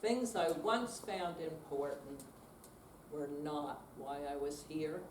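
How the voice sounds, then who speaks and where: Older female stage reader, unhurried, distant mic, faint room noise. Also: sits low, animated, echoey room. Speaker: readers theater performer. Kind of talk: slow dramatic reading in live performance.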